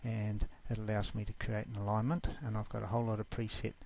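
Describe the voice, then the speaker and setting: A man from New Zealand with a New Zealand accent, giving an easygoing, informal screencast walkthrough of a software class. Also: fairly low pitch, level tone, fast talker, background noise.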